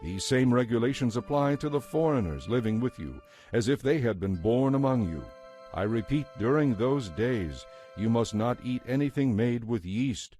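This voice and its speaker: A man narrating scripture in a solemn, measured and authoritative way, as part of a dramatized reading. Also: medium pitch, animated, mellow. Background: background music.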